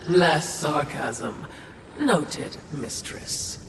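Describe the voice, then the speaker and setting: A woman's voice: sits low, lively intonation, very slow, heavily acted. A woman playing a sly, obsequious spirit servant in a video game, needling and mock-servile. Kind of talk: video game cutscene acting. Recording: echoey, clear background noise.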